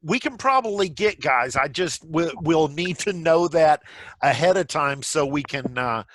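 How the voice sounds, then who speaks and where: Man, host of an online meeting. Fairly high voice, dry sound, stop-and-start casual talk. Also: animated, unhurried, a bit bright, no room sound. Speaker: host of a virtual play reading. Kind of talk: casual discussion, wrapping up a meeting. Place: indoors on a video call. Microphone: video call microphone.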